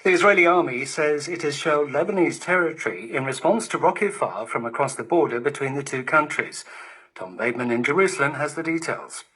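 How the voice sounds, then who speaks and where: A man's voice: on the high side, animated, fairly quick, bright, forceful tone, slightly rough, low-quality recording. A man reading formal scripted radio news bulletins, received over digital shortwave radio. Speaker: news presenter.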